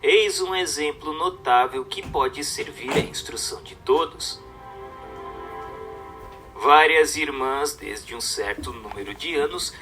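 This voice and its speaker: A man's voice: medium pitch, pushed and bright. A man reading a religious book as dramatic scripted narration, in a solemn, emphatic, declamatory manner.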